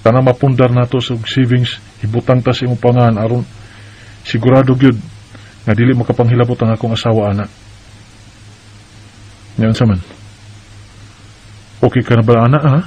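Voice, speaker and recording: A man's voice: mid-range voice, little pitch movement, normal speed, long pauses, a little mellow, slightly rough, lightly acted. A man with a Bisaya Filipino accent playing a married man placating his girlfriend in a radio drama. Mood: reassuring, persuasive. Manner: persuasive, placating. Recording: dull lo-fi sound, faint background noise.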